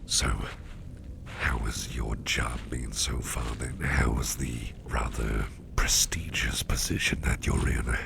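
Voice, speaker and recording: Man voicing an extremely deep, gravelly whispering boss. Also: steady tone, background noise, exaggerated voice. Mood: warm, reassuring. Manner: slow, halting, repeating phrases, softly chuckling. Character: mischievous, toothy businessman boss, affectionate and covert. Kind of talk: intimate ASMR roleplay, murmured to the listener.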